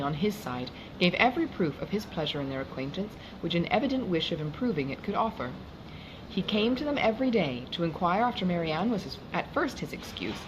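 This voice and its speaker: A woman narrates a classic novel in a fairly low voice. Her delivery is formal and expressive, with lively movement in pitch. Noticeable noise runs behind the recording. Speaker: audiobook reader.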